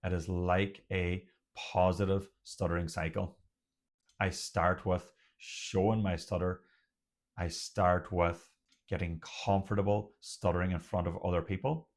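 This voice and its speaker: A young Irish man, a doctor who hosts his own channel, talking slowly and openly with a stutter and deliberate pauses. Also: low voice, level tone. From Ireland. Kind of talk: personal talk to camera.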